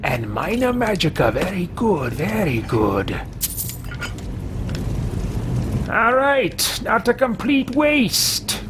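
A man's voice: high voice, wildly swooping pitch, slow pace, background noise, voice pushed up, exaggerated voice. A man in his thirties voicing a haughty, greedy male mage adventurer with pompous, theatrical disdain in a video game roleplay. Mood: smugly pleased. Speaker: let's-play host roleplaying a game character. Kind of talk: theatrical in-character game commentary.

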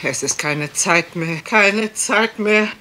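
A woman's voice: mid-range voice, slow, sharp tone. A woman playing a deranged, fanatically pious housemaid in a radio crime drama, preaching and declaiming menacingly.

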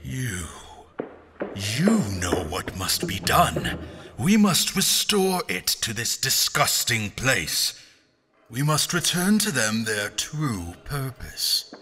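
An actor playing a brooding, grandiose, weary and bitter man, with halting theatrical archaic speech in a fantasy audio drama. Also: mid-range voice, very animated, bright, forceful tone, husky, full character voice. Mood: desperate, resolved.

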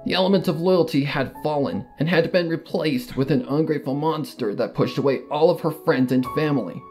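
A man's voice: a little high, brisk, darkish tone, faint music behind, lightly acted. A man voicing a grieving, loyal female cartoon pony, solemn and confessional in a dramatic fan fiction reading. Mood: anguished.

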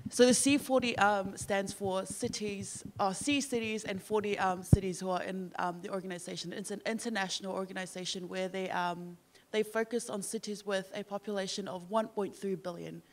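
A woman's voice: medium pitch, fairly even intonation, moderate pace, low-quality recording. A young woman from Samoa, a guest on a panel on an event stage, telling a story casually with many fillers into a handheld microphone. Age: young adult. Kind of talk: panel discussion. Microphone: handheld mic.

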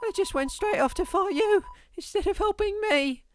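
A woman voicing an excitable, anxious young monster kid for a game dub, halting and slangy. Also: extremely high pitch, noisy background. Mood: remorseful, upset. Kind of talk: voiced dub of a video game.

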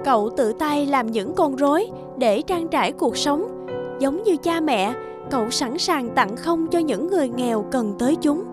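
A woman's voice: sits high, lively intonation, moderate pace. A woman reading scripted narration for a children's fairy-tale cartoon. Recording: music behind the voice.

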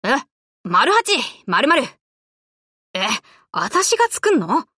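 A woman voicing a brash, tomboyish young warship girl in an anime game, talking in rough, cocky, casual boyish slang. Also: sits high, huge pitch swings, slow, sharp tone, no room sound, studio quality, exaggerated voice. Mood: breezy, casual. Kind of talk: Japanese anime game voice lines.

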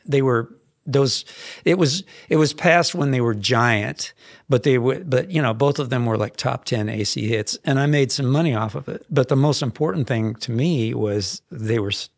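A man in his fifties, a songwriter guest in a podcast studio, telling stories in a rambling, animated way with frequent restarts. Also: mid-range voice, normal speed, no echo. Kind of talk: casual interview storytelling. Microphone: headphones and boom mic.

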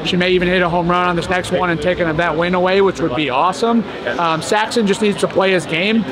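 A man in his thirties, a coach, explaining plans in a steady casual way with some fillers. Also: sits high, quick pace, a crowd in the background. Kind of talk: casual behind-the-scenes update. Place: large indoor competition venue. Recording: noisy arena background.

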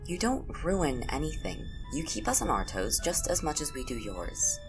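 Casting: easygoing, teasing young friend for audio drama, actor, dry playful delivery with big swings in pitch. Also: huge pitch swings, moderate pace. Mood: reassuring. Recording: music under the voice.

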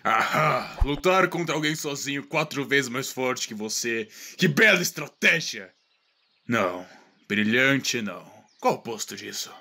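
A man's voice: mid-range voice, animated, unhurried, sharp tone, full character voice. A man with a Brazilian accent playing an arrogant, short-tempered alien villain in a comedic anime parody dub, sarcastic and blustering. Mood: mocking. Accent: Brazilian Portuguese.

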